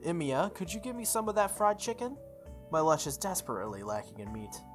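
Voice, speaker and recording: Man acting formal high school boy, priest's son, serious, visual novel dialogue, music behind. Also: expressive, a little fast, natural voice. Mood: pleading.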